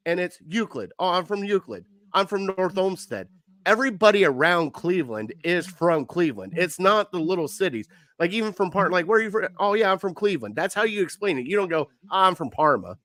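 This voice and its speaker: A man from co-hosts a casual sports podcast. His voice sits fairly high, and he talks animatedly, acting out the people he quotes. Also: animated, a little fast, fairly bright tone. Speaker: podcast co-host.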